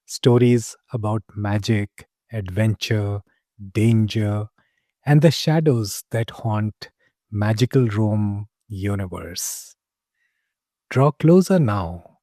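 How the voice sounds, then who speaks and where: A man acting as a mysterious tavern keeper spinning fantasy tales for a story podcast. His voice is warm and relaxed, with a slow, deliberate delivery. He is genial and confiding, dramatic in his phrasing, and the sound is close and dry. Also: lowish voice, no room sound. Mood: mysterious, inviting. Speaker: narrator. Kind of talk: scripted first-person fantasy storytelling.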